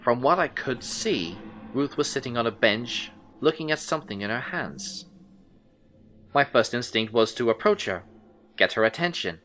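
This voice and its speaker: An actor playing an intent, unsettled male detective, recounting his case in brooding, deliberate first-person audio drama narration. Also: medium pitch, expressive, a bit bright, slight background noise, close to an everyday voice. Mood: tense, cautious.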